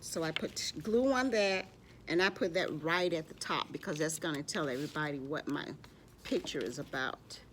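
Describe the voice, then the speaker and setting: A woman's voice: low-pitched, normal speed, fairly bright tone, a little hiss or hum. A woman hosting a children's library storytime, speaking to young children as she tells stories and shows crafts, often referring to herself in the third person.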